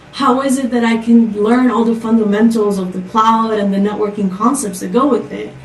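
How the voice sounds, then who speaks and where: Woman from costa rica giving a tech talk, kinda high voice, sounds far off in an echoey room. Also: slight background noise. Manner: upbeat, rhetorical questions. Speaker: conference speaker. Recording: echoey, distant from the mic.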